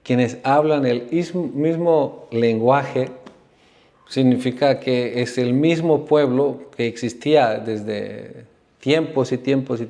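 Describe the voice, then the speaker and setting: Male spiritual teacher from Bosnia, unhurried lecturer with a gravelly, creaky voice. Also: medium pitch, warm tone. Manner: unhurried, frequent tag questions. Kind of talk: lecture on religious history. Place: ashram class.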